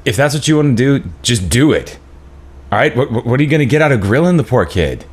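A man's voice: mid-range voice, big pitch swings, a little fast, close to an everyday voice. A man in his thirties playing a dismissive, hot-tempered father in a script table read, sarcastic and confrontational. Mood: defensive. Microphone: video call.

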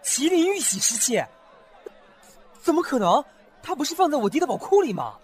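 A man's voice: very high voice, very animated, moderate pace. A man voicing a curious, naive young master in an audio drama who talks eagerly and asks many questions.

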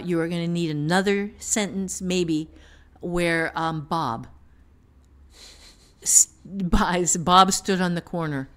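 A woman giving unscripted teaching talk on a podcast, thinking aloud in a halting manner. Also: lowish voice. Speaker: writing teacher, author.

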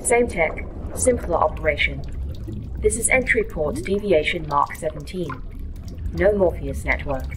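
A woman's voice: deep voice, slight background noise, poor audio. An actress voicing a formal, wry female station AI in a sci-fi horror audio drama. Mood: wry, composed. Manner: formal, measured wording, deadpan.

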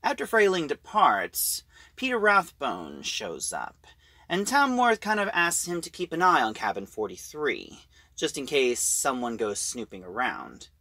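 A man giving an informal spoken review, retelling a plot in a halting, emphatic storyteller's way. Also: medium pitch, huge pitch swings, sharp tone, no echo.

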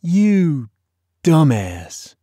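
Storybook role: mischievous bossy boy, played by a man, raised lively pitch, taunting delivery. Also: very slow, dry recording, studio quality, heavily acted. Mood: mocking. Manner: mock-dramatic, taunting. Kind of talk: audiobook character dialogue.

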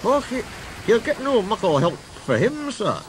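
A man's voice: sits high, very animated, normal speed, a little hiss or hum, pitched above the performer's own voice. A man voicing a sly, mock-innocent twin steam engine with a Scottish accent in a children's story. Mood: cheeky.

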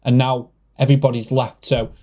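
A young man giving casual, animated horror game commentary in a nervous, joking ramble. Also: medium pitch, brisk, a little hiss or hum. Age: young adult. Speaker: gaming video host. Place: small room with foam panels at home. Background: eerie game music with organ.

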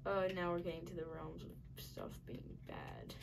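A woman talks slowly through her gameplay, her pitch swinging widely while she mocks her own mistakes. Her bright voice drops into gravelly fry and turns a little raspy at times. A faint hiss of noise runs behind her. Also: huge pitch swings. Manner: self-mocking, frustrated, muttering. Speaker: gaming channel host. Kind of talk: casual gameplay commentary.

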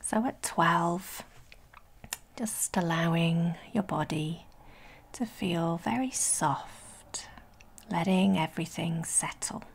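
A woman leading a guided sleep meditation in a breathy, whispery soft voice, soothing and gentle, with long pauses between phrases. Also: on the low side. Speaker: guided relaxation instructor.

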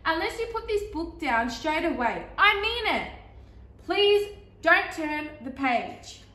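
Lady doing a bossy begging picture book character, super dramatic, bright and swoopy. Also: very high-pitched, big pitch swings, exaggerated voice. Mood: indignant, threatening. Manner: dramatic, emphatic, drawn-out pleading. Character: pleading, exasperated talking picture-book character, increasingly frantic. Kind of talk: animated children's storybook read-aloud. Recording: echoey, roomy sound.

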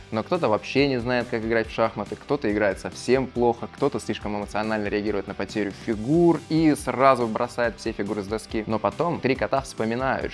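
A man narrating informally as a chess coach and host, explaining things to parents. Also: mid-range voice.

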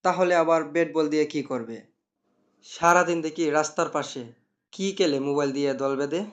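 A man's voice: on the high side, brisk, highs cut off, natural voice. A man playing an officious, talkative assistant to a village councilman in a cartoon. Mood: dismissive. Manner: argumentative, talkative. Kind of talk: cartoon dialogue.

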